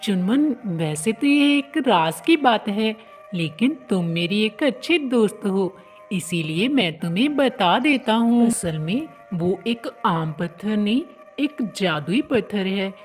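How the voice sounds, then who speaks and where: A woman voicing a kind, helpful young male bird in a children's cartoon, talking in a gentle, earnest, explanatory way. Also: medium pitch, animated, a little slow, soft background music, lightly acted. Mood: earnest, explaining. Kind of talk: children's cartoon story dialogue.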